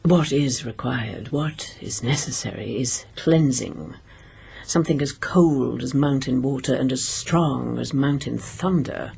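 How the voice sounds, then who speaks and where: A cold, gravelly noble mother. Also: very deep voice, a little hiss or hum, exaggerated voice. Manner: measured, cryptic, drops to a hushed whisper. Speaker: princess. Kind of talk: radio drama acting.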